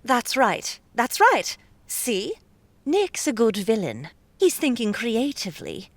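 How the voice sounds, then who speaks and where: Animated bossy elf woman. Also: fairly high pitch, slow, no room sound, exaggerated voice. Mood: assertive. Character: loud, assertive female elf mage, bossy schemer. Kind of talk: audiobook character acting.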